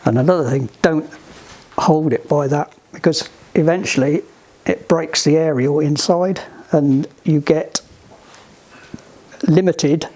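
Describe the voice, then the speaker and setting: A man giving hands-on instruction to a group in a halting, deliberate, emphatic way, on an amateur recording. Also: on the high side, a little mellow. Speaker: sailing club instructor giving radio training.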